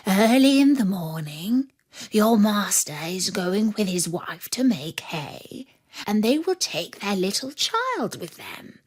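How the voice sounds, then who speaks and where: A woman voicing a sly, scheming wolf in a fairy tale, coaxing in a slow, breathy, conspiratorial whisper. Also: mid-range voice, full character voice. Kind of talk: fairy-tale storybook reading.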